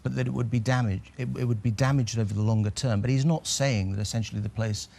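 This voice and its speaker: A man appearing as a guest on a television newspaper review, talking in a measured way with hesitant fillers. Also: fairly low pitch, steady tone, vocal fry, darkish tone. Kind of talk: casual studio discussion. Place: television studio.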